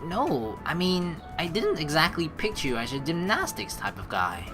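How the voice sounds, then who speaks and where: Woman doing a lets play, lowish voice but super animated, jokey reading, bit of music behind her. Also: moderate pace. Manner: animated, teasing, jokey asides. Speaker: let's play host reading a visual novel aloud. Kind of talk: playful let's play commentary mixed with read-aloud narration. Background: game music.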